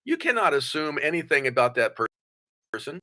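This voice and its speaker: A man's voice: a little high, big pitch swings, brisk, sharp tone. An older man as a podcast guest, speaking bluntly and plainly, giving motivational advice in conversation. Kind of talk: conversational interview.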